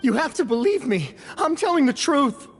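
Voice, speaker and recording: A woman's voice: sits high, sing-song, normal speed, soft background music. A woman voicing a desperate prisoner in video game dialogue, agitated and pleading. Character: desperate prisoner insisting on mistaken identity.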